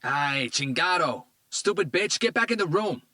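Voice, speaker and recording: A male narrator voicing a volatile adult ex-con who shouts crude, profane threats in a very high, strained voice. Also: lively intonation, moderate pace, no echo. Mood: furious. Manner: shrieking, profane.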